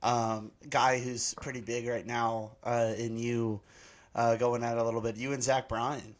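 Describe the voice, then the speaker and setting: A man's voice: medium pitch, level tone, unhurried. Easygoing podcast host, male. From Texas. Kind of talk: casual interview chat.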